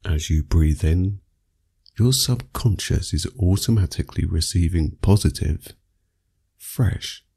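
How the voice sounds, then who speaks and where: A man narrating a scripted guided meditation for sleep, speaking soothingly and in measured phrases with long pauses. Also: deep voice, creaky, mellow, breathy edge.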